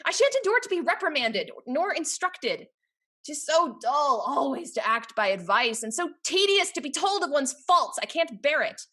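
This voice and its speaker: An actress playing a capricious, teasing young society heiress in a Restoration stage comedy, with a haughty, playful, theatrical delivery. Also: female voice, high-pitched, very animated, moderate pace, bright, forceful tone, exaggerated voice. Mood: imperious, flippant.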